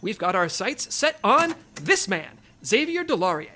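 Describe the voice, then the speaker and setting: A female spy chief in an audio comedy. She is authoritative, patient and diplomatic, briefing her team with a lively rise and fall at a middle pitch. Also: a little slow, a little hiss or hum. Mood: businesslike. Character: businesslike, patient female intelligence section chief. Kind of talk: comedy audio drama acting, mission briefing.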